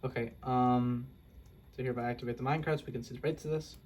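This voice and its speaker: A man's voice: medium pitch, fairly even intonation, fast, darkish tone. A young man casually walking through a game build, rambling and correcting himself with self-deprecating asides. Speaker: Minecraft video creator. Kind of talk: casual gameplay walkthrough.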